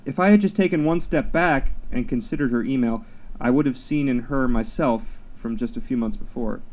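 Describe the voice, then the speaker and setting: Mellow-voiced man reading a personal essay. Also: medium pitch, normal speed. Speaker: writer reading own essay.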